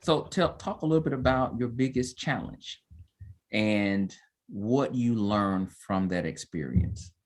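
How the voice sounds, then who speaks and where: Male host, lowish voice, encouraging interviewer asking open questions. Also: a little slow. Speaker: podcast host. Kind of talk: interviewing, casual chat.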